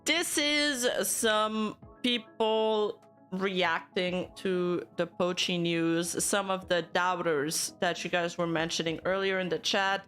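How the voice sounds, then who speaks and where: A woman's voice: fairly low pitch, steady tone, slow pace, a bit bright, faint music behind. Chatty young Canadian woman streamer. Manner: chatty, laughs often, answers chat with playful denial. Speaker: VTuber commentary streamer. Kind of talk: casual live-stream chat reacting to news.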